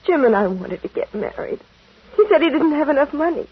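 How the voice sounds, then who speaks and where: An actress playing a remorseful young engaged woman who has been caught out, pleading and halting, in 1940s American radio drama style. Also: sits high, expressive, slight background noise. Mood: confessing. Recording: muffled tinny vintage radio broadcast.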